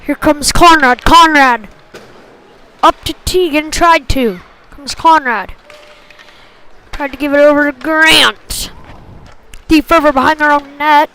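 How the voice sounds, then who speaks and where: Female hockey play-by-play caller, very high voice, slow pacing, clipped phrasing, excitable on big plays. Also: poor audio. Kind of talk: live sports commentary.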